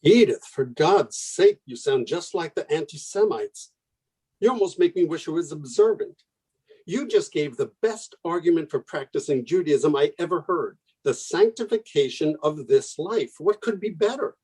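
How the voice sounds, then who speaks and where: An actor plays a young male intellectual in a radio play, skeptical yet idealistic. His voice is dark and soft, with a husky edge. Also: on the high side, expressive, moderate pace, low-quality recording. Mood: exasperated, ardent.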